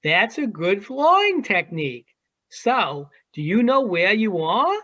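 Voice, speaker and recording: A woman acting as a teasing, worldly adult seagull in an amateur virtual one-act play, with drawn-out delivery. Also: mid-range voice, very animated, a bit bright, full character voice. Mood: encouraging. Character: teasing, worldly adult seagull perched high above the city. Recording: dull lo-fi video call audio.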